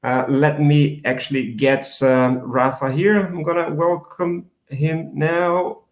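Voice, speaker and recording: A man's voice: fairly high pitch, little pitch movement, takes it slowly, roomy sound. Brazilian man hosting a live interview. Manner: hesitant, many fillers and restarts. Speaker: host.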